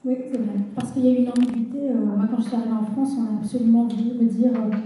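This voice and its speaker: A middle-aged woman novelist from Algeria talks about her writing in a thoughtful, halting way. Her voice sits somewhat high with a soft, mellow warmth. She is picked up from far off in a strongly reverberant hall. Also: steady tone, a little hiss or hum. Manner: reflective, hesitant with many fillers. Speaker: novelist guest. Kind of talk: literary interview on stage.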